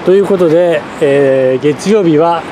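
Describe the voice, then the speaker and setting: A man's voice: fairly high pitch, unhurried. A man narrating his travel vlog in casual chat, thinking aloud with lots of fillers as he walks around a city and eats. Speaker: travel vlogger. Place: outdoors on city streets and in a cafe. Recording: street noise behind, camera mic.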